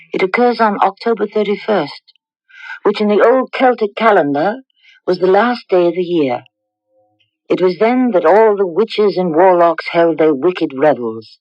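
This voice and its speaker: A woman's voice: medium pitch, no room sound. A woman delivering scripted dramatic narration as the host of a radio drama. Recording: dull vintage radio recording.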